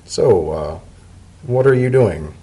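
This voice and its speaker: Man as a grumpy, low-voiced pony bureaucrat. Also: animated, takes it slowly, dark tone. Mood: sheepish, dry. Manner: dry, exasperated, sardonic. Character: grumpy, weary male pony bureaucrat with creaky joints.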